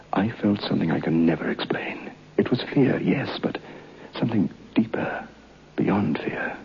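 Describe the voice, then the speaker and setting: An actor playing a solemn, haunted man who recounts a ghost story, deliberately and dramatically, in an old radio horror play. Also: low-pitched, creaky. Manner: deliberate, dramatic, clipped phrases. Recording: muffled old radio recording.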